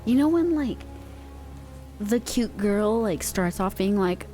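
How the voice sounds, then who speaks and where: A woman's voice: mid-range voice, animated, slow, warm tone, soft background music. A young woman streamer chatting casually with her viewers in a slangy, laid-back way, using pet names, on a close microphone.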